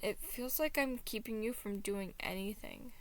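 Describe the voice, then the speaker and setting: A woman's voice: medium pitch, moderate pace, lightly acted. A woman voicing a gloomy, self-doubting male figure of anxiety, cool, guarded and sardonic. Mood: downcast.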